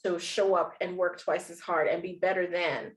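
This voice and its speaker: A woman's voice: fairly low pitch, fairly even intonation, normal speed, rough recording. A woman hosting an interview, framing her questions at length and backing up her guest with supportive affirmations. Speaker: podcast host. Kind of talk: interview conversation.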